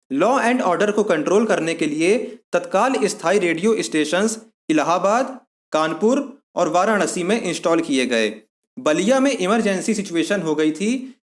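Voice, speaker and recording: A man giving an explanatory lesson as an exam-prep instructor, methodically restating points in simpler words. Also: sits high.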